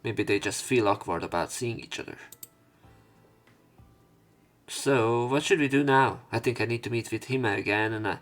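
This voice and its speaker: A man's voice: medium pitch, a little fast. A man doing let's play commentary, reading game text aloud and adding informal, rambling, hesitant remarks. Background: soft game music.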